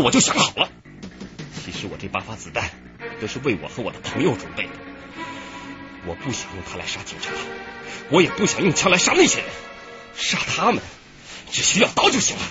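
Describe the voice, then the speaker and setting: An actor playing an agitated, intense man in a police radio drama, with a theatrical, emphatic delivery. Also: on the high side. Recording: muffled radio drama with music underneath.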